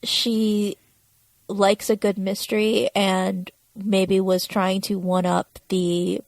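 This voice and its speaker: A woman co-host chats casually in a medium-pitched voice. Her delivery is informal and conversational, and the sound is dry, with no room echo. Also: level tone, slow. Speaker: podcast co-host. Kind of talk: casual chat.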